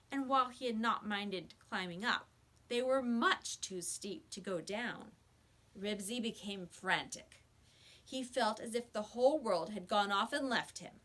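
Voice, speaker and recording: A woman reading a children's story aloud with expressive, scripted narration. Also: medium pitch.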